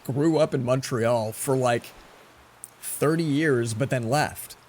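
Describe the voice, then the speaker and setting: A man's voice: mid-range voice, slow, darkish tone, slight background noise. A man in his forties giving casual gameplay commentary, rambling and chatty as he tells stories between moves. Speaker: let's play host.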